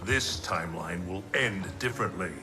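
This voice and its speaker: Low-voiced, solemn thunder god played by a man. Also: normal speed, music in the background, close to an everyday voice. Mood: defiant. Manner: grave, formal, archaic phrasing. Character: solemn male thunder god, commanding yet remorseful. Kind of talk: dramatic video game cutscene acting.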